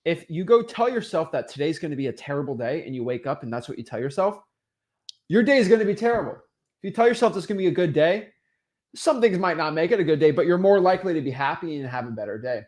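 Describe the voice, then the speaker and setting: A man's voice: a little high, animated, fast, a little mellow. A young man and host, giving a motivational teaching talk on real estate with emphatic rhetorical questions and frequent checks like "right?" and "okay?". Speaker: online real estate coach.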